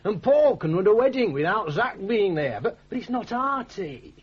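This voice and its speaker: An actor playing a genial, ale-loving villager with a Northern English accent in a radio drama, folksy and rambling. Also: male voice, high-pitched, sing-song, normal speed, breathy edge, heavily acted. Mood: earnest. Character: genial, ale-loving village man fond of mellow jollity. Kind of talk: early-1980s British radio drama acting. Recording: dull, band-limited old radio broadcast.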